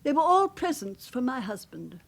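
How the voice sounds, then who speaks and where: An elderly, well-bred widow in a radio drama. Her voice is high and moves expressively, polite but anxious, with hesitant, wistful phrasing. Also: sing-song, normal speed, creaky, a little hiss or hum, heavily acted. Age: older. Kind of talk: naturalistic British radio drama acting.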